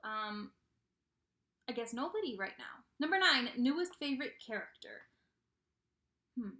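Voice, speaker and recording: Chatty lady doing a book vlog, really bright voice, kinda echoey room, very emphatic. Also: on the high side, lively intonation, unhurried. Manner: gushing, emphatic, repeats phrases for emphasis. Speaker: book reviewer vlogger. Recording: roomy, echoey indoor recording.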